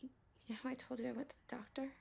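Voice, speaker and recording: A young woman playing a nervous partner who confesses a secret, stammering in hushed tones. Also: high voice, flat delivery, very fast, gravelly, a little hiss or hum. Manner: hesitant, stammering whispers turning to defiant shouts. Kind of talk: scripted short-film drama.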